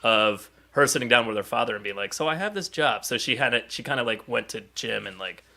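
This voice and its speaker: A man's voice: on the low side, fairly even intonation, fast, creaky, sharp tone. A man chatting casually and enthusiastically as a podcast co-host, acting out bits as he retells stories. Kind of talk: casual enthusiastic pop-culture chat.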